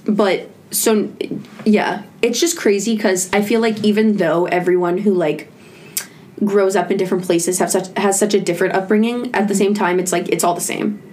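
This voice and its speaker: A woman's voice: mid-range voice, fairly even intonation, normal speed, a little mellow, slight background noise. A woman in her early twenties hosting a podcast, chatting informally and peppering her talk with "like". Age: early 20s. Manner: chatty, informal, heavy use of "like". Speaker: podcast host. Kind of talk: casual chat.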